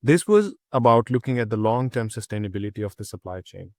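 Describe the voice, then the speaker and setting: A young man from Pakistan, a student, reading a prepared reflection in a steady, earnest way with small restarts. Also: on the low side, brisk, dry recording, clean studio sound.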